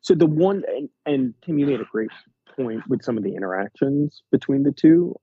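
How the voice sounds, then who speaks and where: A man's voice: medium pitch, animated, moderate pace, breathy edge, dry recording, narrow, tinny audio. A man co-hosting a podcast, musing and wondering aloud in casual chat. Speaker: podcast co-host.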